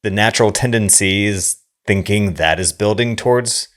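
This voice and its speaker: A man with a low voice talks as a podcast co-host. He is wordy and reflective, stopping and rephrasing as he builds a long thought in casual conversation. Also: normal speed, fairly bright tone. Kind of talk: casual film discussion.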